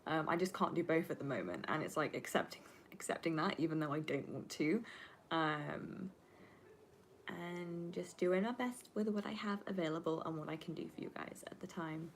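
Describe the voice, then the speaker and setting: A woman's voice: low-pitched, lively intonation, moderate pace, slight background noise. A woman in her twenties, a native Flemish Dutch speaker, chatting casually to livestream viewers in a rambling, candid, sweary and emphatic way. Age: 20s. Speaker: livestreamer and film student. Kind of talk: casual livestream chat answering viewer questions.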